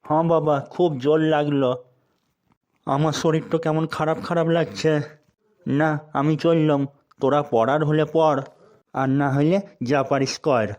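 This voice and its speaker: A man's voice: a little high, steady tone, unhurried, a little mellow, lightly acted. A man voicing a sarcastic, scolding schoolteacher in a cartoon. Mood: exasperated. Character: exasperated middle-aged male village schoolteacher. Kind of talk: cartoon voice acting.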